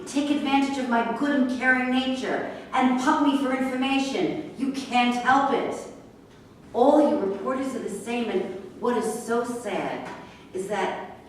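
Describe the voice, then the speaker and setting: A woman's voice: high voice, fairly bright tone. Actress doing a defiant sarcastic woman in a play reading, far from mic, bit of noise. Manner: sarcastic, confrontational. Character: sharp-tongued, distrustful, defiant woman horse trainer. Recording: echoey room, distant mic, faint noise.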